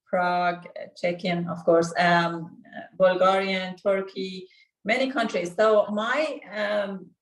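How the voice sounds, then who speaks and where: Earnest woman guest in a roomy echo. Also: mid-range voice. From Sweden. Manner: earnest, halting, many restarts and fillers. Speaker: interview guest. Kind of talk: casual interview conversation.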